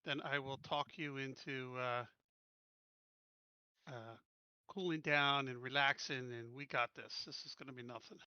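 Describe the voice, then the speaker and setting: A man's voice: medium pitch, fairly even intonation, measured pace, pauses often, bright, forceful tone, smooth, no room sound, dull audio. A man chatting casually as a player in a tabletop roleplaying game.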